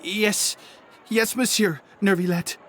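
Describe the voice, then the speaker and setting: A man's voice: high voice, fairly even intonation, slow, fairly bright tone. Guy doing a jittery hesitant henchman for a game, stammers a lot, slight noise behind. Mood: nervous. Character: nervous, cowed underling confessing under questioning. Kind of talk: video game voice acting.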